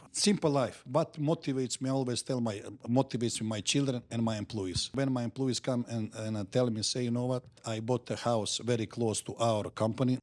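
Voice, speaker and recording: A middle-aged man from Montenegro with a Montenegrin accent, talking as a guest in an interview in halting, repetitive, simple phrases. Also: mid-range voice. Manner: halting, repeats words, simple grammar. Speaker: guest entrepreneur. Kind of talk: podcast interview.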